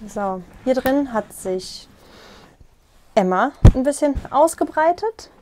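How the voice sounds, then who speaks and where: Young woman, slightly high voice, casual tour-guide chat, lapel mic in a small caravan. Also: expressive, takes it slowly, a little mellow, slight background noise. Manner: friendly, explaining as she walks and points. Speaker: vlogger giving a tour.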